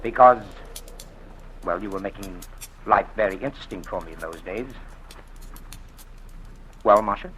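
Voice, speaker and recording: An actor playing a smooth, sleazy former insurance investigator who blackmails a woman, talking in an oily, taunting drawl, in 1940s radio drama style. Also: lowish voice, normal speed, long pauses, fairly bright tone, slight background noise, lightly acted, poor audio. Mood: menacing.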